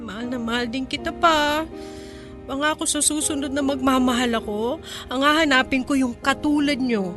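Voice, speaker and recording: A distinctly high voice over prominent music gives us a woman acting a vulnerable young Filipino daughter. She is emotional, loving and pleading, in the manner of radio drama. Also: normal speed, close to an everyday voice. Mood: fond.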